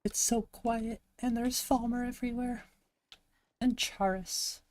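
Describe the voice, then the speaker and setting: A woman from Montana with a gravelly voice, chatting casually to her live-stream audience while she plays a game, thinking aloud and answering chat. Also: on the high side, steady tone, measured pace, darkish tone. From Montana, USA. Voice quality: gravelly, slightly raspy.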